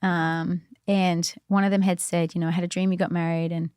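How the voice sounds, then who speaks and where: Low-voiced woman telling faith stories. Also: level tone, brisk, darkish tone, clean tone, no room sound, clean studio sound. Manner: chatty, with frequent "like" and "you know" fillers; earnest and reflective. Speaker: podcast guest. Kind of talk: casual faith storytelling.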